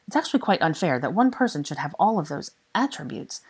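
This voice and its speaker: Audiobook role: woman as exasperated, lovesick young man, husky, impassioned, sardonic, stumbling over his declarations. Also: sits low, very animated, fast, full character voice. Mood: impassioned, halting. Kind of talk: dramatic audiobook character dialogue.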